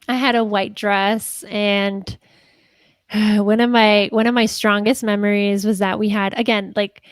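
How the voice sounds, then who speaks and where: A young woman from Los Angeles with a Salvadoran family, relaxed on a casual podcast and laughing as she talks. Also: mid-range voice, a little slow, smooth, no echo. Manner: relaxed, laughs while talking. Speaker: podcast co-host.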